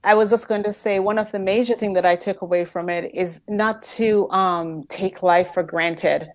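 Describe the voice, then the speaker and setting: A woman's voice: mid-range voice, fairly even intonation, moderate pace, dry recording. A woman speaking as a panel guest in casual conversation, with an earnest, reflective manner.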